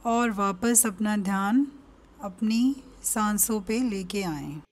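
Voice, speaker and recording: Slow-paced Indian woman guiding meditation. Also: medium pitch. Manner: calm, gentle instructions with long pauses. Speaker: psychiatrist leading a guided meditation. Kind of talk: guided meditation narration.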